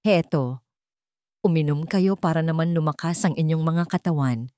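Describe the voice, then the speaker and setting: A woman's voice: deep voice, moderate pace, warm tone, dry recording, clean studio sound, lightly acted. A woman voicing a seemingly kind, concerned uncle who is a hidden villain in a dramatic story. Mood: feigned concern. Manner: solicitous.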